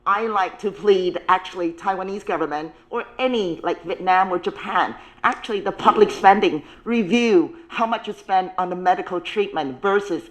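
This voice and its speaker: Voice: mid-range voice, normal speed, hardly any pauses, a bit bright, low-quality recording. Panel expert, urgent, repeats points, non-native English, mid-range voice. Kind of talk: roundtable panel discussion.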